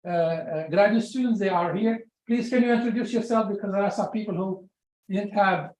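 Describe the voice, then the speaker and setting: Halting seminar host, medium pitch. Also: a little mellow, a little hiss or hum, low-quality recording. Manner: halting, repetitive, word-searching delivery. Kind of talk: informal seminar address.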